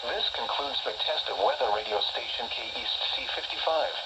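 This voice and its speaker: A man reading a scripted public warning test as a weather radio announcer, in a formal, measured, automated-sounding delivery. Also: medium pitch. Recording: noisy, distant weather radio broadcast.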